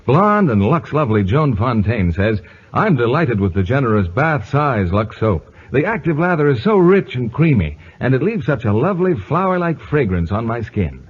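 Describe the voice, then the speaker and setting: Male American vintage radio announcer, emphatic and polished, very lively intonation, husky edge, muffled old broadcast. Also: mid-range voice. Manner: polished, emphatic sales delivery. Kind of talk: 1950s radio announcing, scripted advertising.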